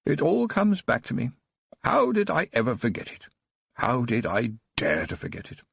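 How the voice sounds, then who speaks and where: A man's voice: mid-range voice, wildly swooping pitch, normal speed, vocal fry, slightly rough, dry recording, studio quality, exaggerated voice. A man doing a frail, wistful old nobleman. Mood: dreamy. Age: older. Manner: trembling, wistful storytelling.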